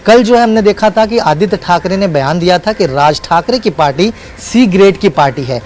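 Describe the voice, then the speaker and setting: Man, news correspondent, live unscripted report, fairly high pitch, emphatic and repetitive, noisy ambient background. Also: expressive, moderate pace, a little mellow.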